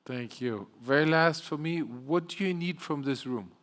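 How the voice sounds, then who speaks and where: A man moderating a fireside chat on a conference stage, asking questions briskly and affirming with quick interjections. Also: on the high side, fairly bright tone. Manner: brisk, affirming, many quick "yeah"s and "okay"s. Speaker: panel moderator.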